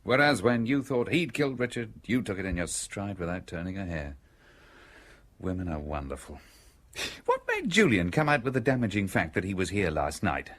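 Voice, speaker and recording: Low husky male voice, British accent, lively inflection, shrewd, dry, ironic, radio drama. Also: normal speed, exaggerated voice. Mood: sardonic. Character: shrewd, sardonic male visitor probing a murder.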